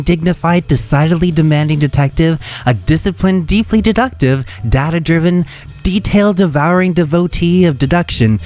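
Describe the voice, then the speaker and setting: A man's voice: fairly high pitch, a little mellow. A man hosting a radio show, reading scripted introductions with a theatrical flourish. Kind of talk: scripted narration introducing vintage radio dramas.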